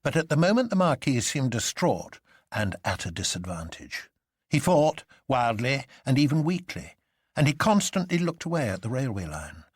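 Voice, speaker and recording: A male narrator reads classic fiction with theatrical expression. His pitch moves dramatically up and down, the tone is husky, and the sound is close and dry. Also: no room sound.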